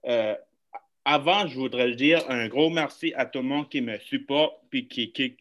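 Bright-voiced podcast host. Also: male voice, medium pitch, unhurried. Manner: friendly, hesitant, many fillers. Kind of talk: casual podcast interview.

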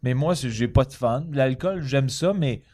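A man's voice: mid-range voice, normal speed, a little mellow, no room sound. A man with a Quebec French accent, talking casually and humorously as a guest in a conversation.

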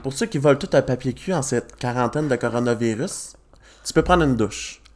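A man's voice: mid-range voice, lively intonation, moderate pace. Young Québécois man joking on a podcast. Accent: Québécois French. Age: 20s. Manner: joking, self-mocking storyteller with frequent laughs. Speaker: podcast host. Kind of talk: casual chat.

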